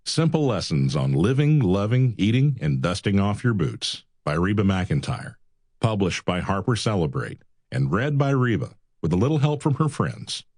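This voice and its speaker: A man's voice: on the low side, very animated, moderate pace, a little mellow, no echo, clean studio sound. A man reading a scripted audiobook introduction as the announcer. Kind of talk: scripted narration. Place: studio.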